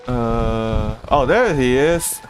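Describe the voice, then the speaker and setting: A male game streamer with a medium-pitched voice talks casually over his gameplay. He is informal, often muttering half to himself, with game music clearly audible behind him. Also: animated, very slow. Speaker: game livestreamer.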